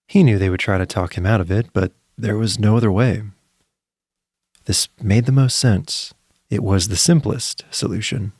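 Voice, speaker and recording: A man narrating a scripted story in a measured, suspenseful storytelling manner. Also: sits low, darkish tone, no echo, studio quality.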